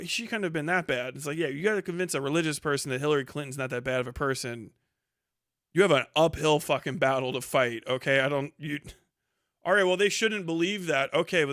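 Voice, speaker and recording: A man's voice: a little high, fairly bright tone, no echo, clean studio sound. A man doing casual, off-the-cuff live commentary on the news in a blunt, sardonic, rambling and argumentative way, with some swearing.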